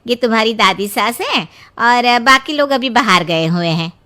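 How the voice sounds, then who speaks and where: A woman voicing a brisk, matter-of-fact, bossy in-law from a big household in a Hindi cartoon story. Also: on the high side, expressive, bright, forceful tone.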